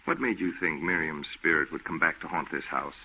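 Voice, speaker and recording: Low-voiced, exasperated skeptic. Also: male voice, steady tone, brisk, a little hiss or hum. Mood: firm, exasperated. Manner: folksy, blunt. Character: skeptical, exasperated, no-nonsense man debunking a ghost. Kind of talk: old-time radio drama acting. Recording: tinny, phone-like old radio recording.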